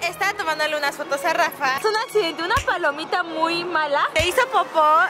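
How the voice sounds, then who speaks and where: A woman's voice: very high voice, music in the background. A young woman vlogging outdoors, cheerful and joking with her friends. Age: young adult. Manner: cheerful, quick to agree and joke. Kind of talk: casual travel vlog chat.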